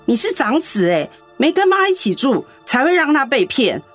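Quarrelsome daughter, lively pitch swings, accusing, music underneath. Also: female voice, normal speed. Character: sharp, accusing grown daughter.

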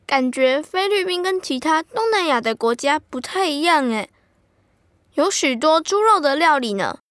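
A woman's voice: sits high, lively intonation, normal speed, a bit bright, no room sound, clean studio sound. A woman playing an enthusiastic, curious, food-loving child in an educational radio drama. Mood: curious, chatty.

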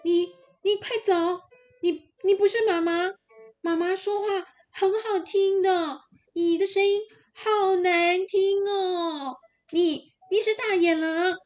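A woman voicing a group of little goat kids in a storybook, loud, stammering and childlike. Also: very high-pitched, fairly even intonation, slow, muffled recording, faint music behind, pitched above the performer's own voice. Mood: scared defiant. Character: frightened little young goats, shrill and childlike.

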